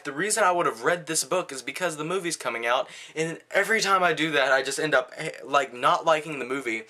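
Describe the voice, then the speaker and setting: Bright-voiced man, casual movie reviewer. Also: a little high. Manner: blunt, slangy, conversational.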